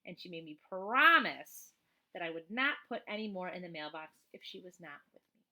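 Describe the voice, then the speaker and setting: Lady teacher reading a kids book out loud, real bright clear-cut voice, chatty and emphatic. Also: on the low side, lively intonation, moderate pace. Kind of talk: expressive read-aloud with casual asides.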